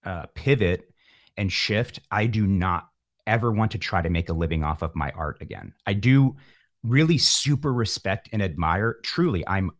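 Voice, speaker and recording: A man speaking casually as an interview guest, with an animated, emphatic and playful way of talking. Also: sits low, normal speed. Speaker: podcast guest, musician.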